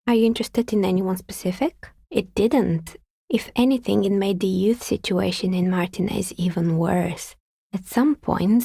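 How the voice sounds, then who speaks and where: A calm, wry artisan woman in a video game, a maker of novelty dice. Her voice is breathy and husky with a gravelly creak, captured close and dry. She talks in a measured, understated way. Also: medium pitch, no echo. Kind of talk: video game character dialogue.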